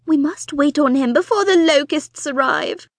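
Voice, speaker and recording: A woman voicing an excitable, fussy middle-aged mother who gushes and grows impatient with her husband. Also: moderate pace, no room sound, close to an everyday voice. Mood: excited, gushing. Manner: shrill, gushing, impatient.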